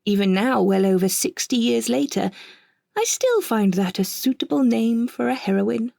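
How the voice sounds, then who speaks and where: A woman performing an audio drama monologue as an older woman wryly recalling her childhood, in a measured, precise, slightly husky voice with deliberate pauses. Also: on the high side, expressive, dark tone, no room sound, studio quality, full character voice. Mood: wry, reflective. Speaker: monologue narrator. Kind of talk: scripted first-person audio drama narration.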